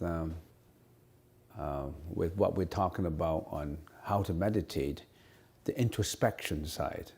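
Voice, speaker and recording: A man with a Cantonese mother tongue teaches Buddhism in an unscripted talk, his voice deep and dark in tone. He pauses often, repeats words and fills gaps while he searches for the next phrase. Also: husky. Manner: halting, many fillers and restarts.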